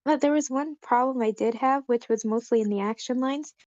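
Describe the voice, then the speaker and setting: A woman's voice: high-pitched, brisk, darkish tone, dry recording, dull audio. A woman giving casual, tentative, self-deprecating feedback in an online group discussion. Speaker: table read participant giving feedback. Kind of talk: casual spoken critique.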